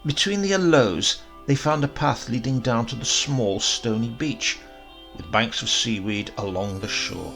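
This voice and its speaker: A man narrating a literary short story in an expressive audiobook style, with deliberate pauses. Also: mid-range voice, creaky, soft background music. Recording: faint background noise.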